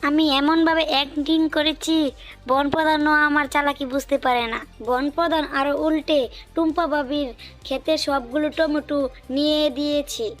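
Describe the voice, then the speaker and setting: Woman acting a high-pitched, scheming animal villainess. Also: steady tone, moderate pace, soft background music, close to an everyday voice. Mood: smug, boastful. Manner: cunning, insistent. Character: sly, scheming female cartoon animal villainess, married. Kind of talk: cartoon dialogue.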